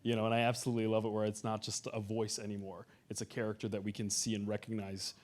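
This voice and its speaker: A man's voice: lowish voice, completely flat delivery, quick pace, darkish tone. A man hosting a panel, chatting casually and fluently with an enthusiastic manner. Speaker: panel host.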